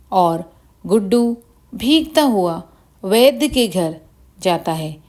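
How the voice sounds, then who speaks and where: A woman's voice: mid-range voice, a little mellow. A woman narrating a scripted children's bedtime story as the storyteller.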